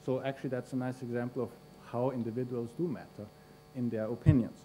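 A man's voice: medium pitch, steady tone, quick pace, warm tone, a little hiss or hum. A man, introducing a speaker at a lectern in a university hall, with many fillers. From Austria. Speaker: conference host introducing a speaker. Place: large university lecture hall. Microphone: lectern microphone.

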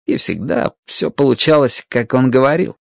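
A narrator reading a radio-play story in an expressive, scripted storytelling style. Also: medium pitch, normal speed, vocal fry, no echo.

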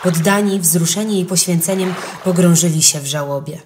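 Female storyteller with a fairly low voice, calm, clear storybook read for kids. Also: normal speed, a little hiss or hum. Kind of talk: scripted narration of a legend for children.

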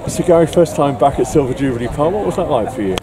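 A man's voice: fairly high pitch, expressive, quick pace, noisy background. A man interviewing a visiting manager outdoors at a football ground, chatty and teasing. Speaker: club interviewer. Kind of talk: friendly post-match interview.

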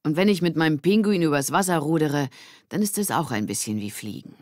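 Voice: mid-range voice, expressive, a little fast, darkish tone, dry recording, studio quality, close to an everyday voice. A narrator voicing a friendly, sporty teenage boy in a children's story. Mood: explaining.

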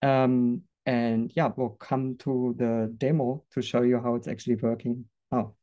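A man presenting a software product in a webinar, earnest and a little halting, with fillers and restarts. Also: medium pitch, flat delivery, lo-fi sound.

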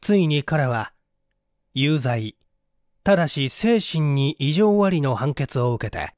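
A man's voice: a little high, very animated, slow, darkish tone, no echo, clean studio sound. A man reading a mystery story as an audiobook narrator, in a formal, theatrical style.

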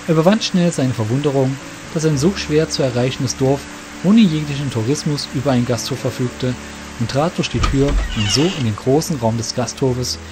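A male narrator reads a fantasy tale in a descriptive storytelling style. His voice sits at a medium pitch, with music clearly heard behind it. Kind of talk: scripted fantasy audiobook narration.

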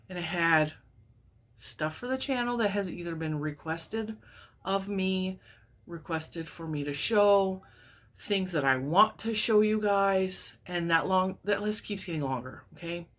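A wheezy, congested woman in her forties talks casually to camera from her craft room, her voice sitting a little low. She laughs often and chats like she is catching up with her crochet viewers. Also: measured pace. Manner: chatty, laughs often, animated hand gestures. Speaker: crochet channel host. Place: home craft room lined with yarn shelves.

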